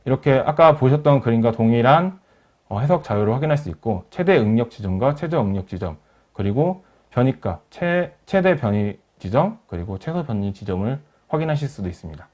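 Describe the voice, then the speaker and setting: A man narrating a software tutorial as a webinar instructor, explaining each step in a polite, formal way. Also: medium pitch, expressive, fairly quick. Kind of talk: software tutorial narration over screen demo. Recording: dull lo-fi voice recording.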